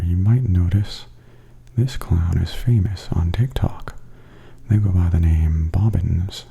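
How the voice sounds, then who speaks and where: A man giving softly spoken ASMR tour commentary in a breathy, often near-whispered voice, gentle and observational. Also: low-pitched. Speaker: vlogger giving a walking tour. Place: walking through a theme park and its indoor attractions. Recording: steady background noise and ambience.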